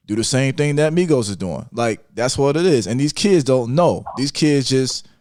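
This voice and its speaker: A man's voice: mid-range voice, expressive, normal speed, darkish tone, dry recording. Dry-sounding man giving casual opinions. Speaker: podcast panelist. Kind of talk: casual panel discussion about hip-hop.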